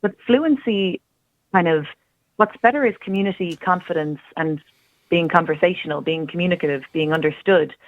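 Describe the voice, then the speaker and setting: A woman's voice: on the low side, normal speed, no echo, phone-quality sound. An Irish woman, a language teacher and guest, talking earnestly and eagerly in long runs on a radio chat show. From Ireland. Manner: earnest and enthusiastic, talks in long flowing runs. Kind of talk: casual radio interview.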